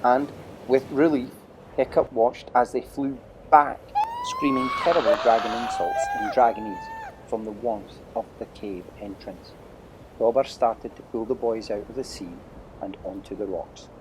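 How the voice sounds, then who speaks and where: A man's voice: mid-range voice, wildly swooping pitch, unhurried, slight background noise, low-quality recording. A man narrating an adventure storybook dramatically as part of an audio play.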